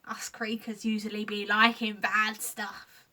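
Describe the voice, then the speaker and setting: Woman as eager whispery storybook creature, bright tone. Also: medium pitch, flat delivery, measured pace, distant mic. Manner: broken grammar, whispery. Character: eager male creature who likes bad things.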